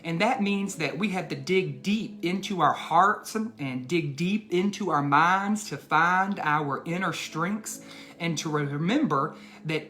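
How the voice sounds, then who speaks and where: A man's voice: on the high side, fairly bright tone. Male educator reading aloud for children. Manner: deliberate emphatic phrasing, addressing children directly. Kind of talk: children's bedtime read-aloud and talk to young listeners.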